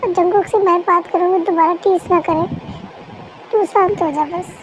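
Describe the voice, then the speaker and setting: A woman's voice: extremely high pitch, steady tone, moderate pace, noisy background. A woman voicing a caring young female best friend who talks in a soothing, coaxing way, in an amateur fan dub.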